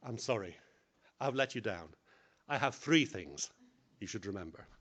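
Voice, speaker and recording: Animated man giving a conference talk. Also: lowish voice, normal speed, breathy edge. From English-speaking country. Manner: animated, self-deprecating, plainly phrased. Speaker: conference speaker. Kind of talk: lecture on public speaking.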